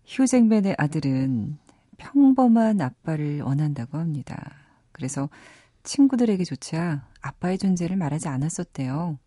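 A woman's voice: low voice, expressive, normal speed, mellow, dry recording. A woman in her thirties from South Korea hosting a radio program, moving between scripted narration and chatty talk, close on a studio microphone. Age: 30s. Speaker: radio host of a movie program.

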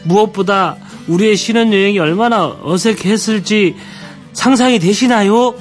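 A man's voice: sits high, normal speed, faint music behind. A man on a radio show, expressively telling a listener's story.

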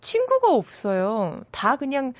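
A woman in her thirties hosting a radio magazine show, reading a scripted segment smoothly and then chatting with her guest. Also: sits high, huge pitch swings, slow, no room sound. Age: 30s. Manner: smooth scripted delivery, chatty and laughing in talk. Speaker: radio host. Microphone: studio microphone. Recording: studio radio with background music. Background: music bed.

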